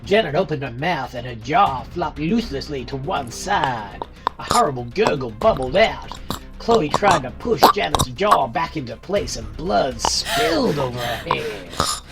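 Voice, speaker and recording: Woman doing scripted horror narration, medium-low voice, music clearly behind her. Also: big pitch swings, unhurried.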